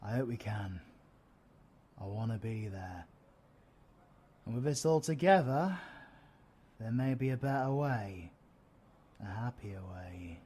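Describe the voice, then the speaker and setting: A man's voice: lowish voice, lively intonation, takes it slowly, pauses often, slightly rough, full character voice. A British man playing a cheeky, flamboyant supervillain in an audio roleplay, with a slangy, theatrical manner. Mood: soft, sincere. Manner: theatrical, slangy, swinging from soft to shouting. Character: cheeky, flamboyant young male supervillain, affectionate and chaotic.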